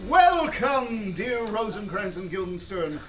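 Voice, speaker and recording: A man's voice: sits high, very animated, slow pace, soft background music, exaggerated voice, low-quality recording. An actor playing a formal, welcoming king in a Shakespearean radio play. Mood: courteous.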